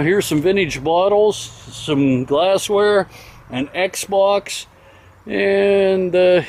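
A man with a notably high voice talks through auction items in a casual, unscripted way. His delivery is folksy and comes in short itemizing phrases. He is recorded outdoors on a handheld camera, with faint ambient noise behind him. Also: a little mellow. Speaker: auction house presenter. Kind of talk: casual off-the-cuff walkthrough commentary of auction items. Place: outdoors in an open-air auction yard. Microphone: handheld camera held by the speaker.

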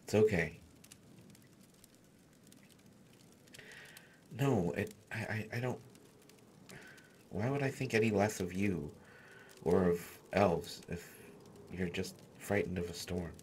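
A man playing a gentle, awkward human artificer in a fantasy audio roleplay, stammering and hesitant as he speaks. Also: low-pitched, unhurried, pauses often, a little hiss or hum. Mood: soothing. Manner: stammering, hesitant, soft-spoken reassurance.

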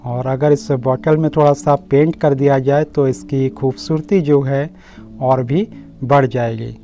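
A mellow, soft-edged male voice walks viewers through a home garden. He explains casually and speaks to them directly, like a friendly host. The audio is a little dull, with instrumental music playing underneath. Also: medium pitch, fairly even intonation, unhurried.

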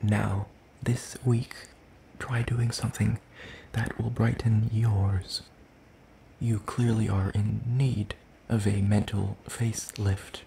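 A man playing a lanky, ancient, world-weary demon in a soft ASMR roleplay, speaking in a hesitant, breathy murmur with formal wording. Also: fairly low pitch, little pitch movement, takes it slowly, a little hiss or hum, low-quality recording. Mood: gentle, fond.

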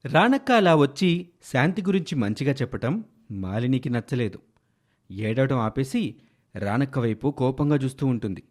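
A man's voice: mid-range voice, expressive, normal speed, long pauses. A man narrating a scripted story as a storyteller.

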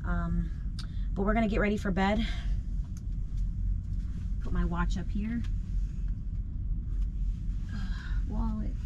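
A middle-aged woman vlogging casually to camera from inside her camper van at night, relaxed and upbeat, with plenty of fillers. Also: mid-range voice, slow pace, long pauses, muffled recording, background noise. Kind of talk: casual vlog talk to camera. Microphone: camera close up in the van.

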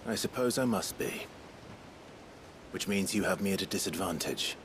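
An actor in a video game cutscene playing a world-weary outlaw leader, grave, guarded and dryly formal, with a gravelly voice. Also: male voice, sits low, lively intonation, noisy background. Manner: measured, dry, formal.

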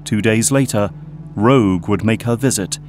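A man narrating an audio drama in a steady, scripted storytelling manner. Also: lowish voice, moderate pace, soft background music.

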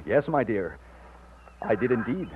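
A man's voice: medium pitch, big pitch swings, unhurried, a little hiss or hum. An actor playing an earnest young man in love in an old-time radio drama. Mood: stunned, relieved. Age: young adult. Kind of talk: 1950s radio drama acting and first-person narration. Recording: muffled narrow-band old radio recording.

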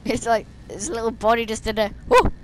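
A young woman, slightly hoarse and losing her voice, chatting and rambling as she plays a stealth game at her desk, talking to the enemies on screen. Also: on the high side, a little fast, slight background noise. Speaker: gameplay streamer. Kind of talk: casual gameplay commentary. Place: small room indoors, seated at a desk.

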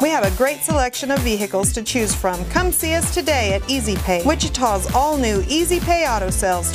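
A woman reading a scripted ad in an upbeat, salesy way. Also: high voice. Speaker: ad announcer. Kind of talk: scripted radio ad. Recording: ad over music bed.

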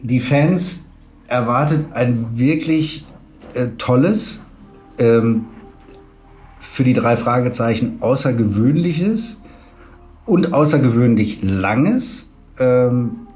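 A man answering haltingly as an interviewee on a video call. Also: medium pitch, animated, a little mellow, soft background music. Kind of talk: interview talk.

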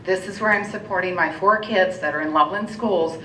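A woman's voice: a little fast, a bit bright. A middle-aged American woman giving a public comment speech as a local business owner in a meeting room. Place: indoor council meeting room. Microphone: gooseneck podium microphone. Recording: roomy, distant mic, low rumble and noise.

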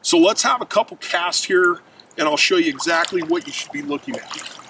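A man's voice: high voice, very animated, moderate pace, creaky. A middle-aged man giving an emphatic outdoor fishing lesson while standing by a river, addressing his viewers directly. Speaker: fishing instructor. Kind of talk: outdoor how-to demonstration. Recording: distant mic with faint river noise.

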